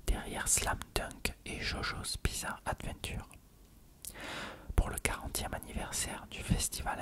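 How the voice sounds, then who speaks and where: Hushed ASMR whisperer. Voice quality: breathy, unvoiced whisper. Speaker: ASMR video host. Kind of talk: whispered ASMR, reading an encyclopedia article.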